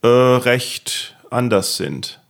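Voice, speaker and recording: A man's voice: mid-range voice, expressive, drawn-out words. Rambling, self-mocking man hosting a podcast. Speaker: podcast host. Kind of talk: casual interview chat.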